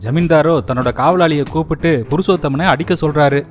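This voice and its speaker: A man's voice: a little high, brisk, over music. A man reading scripted narration for a children's moral story.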